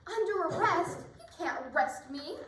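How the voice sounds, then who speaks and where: A woman's voice: extremely high pitch, animated, normal speed, fairly bright tone, distant mic, a little hiss or hum. A woman playing an anxious, evasive wealthy wife in an amateur radio mystery. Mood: frightened, indignant. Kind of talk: amateur radio drama acting. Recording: echoey room, off the mic.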